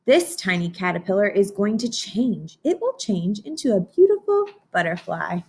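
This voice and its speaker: A woman's voice: mid-range voice, big pitch swings, normal speed, a little mellow, slightly rough. A woman teaching young children in an interactive read-aloud lesson, encouraging and instructional, often putting questions to her listeners.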